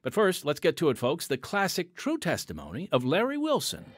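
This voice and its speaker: A man's voice: a little high, huge pitch swings, fairly quick, dry recording, studio quality, faint music behind. A man hosting a podcast with scripted, conversational narration, addressing listeners directly. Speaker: podcast host.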